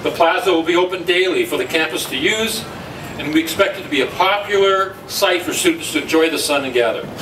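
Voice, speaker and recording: A man giving steady explanatory remarks at a press conference. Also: on the high side, brisk. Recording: echoey room from far off, noisy.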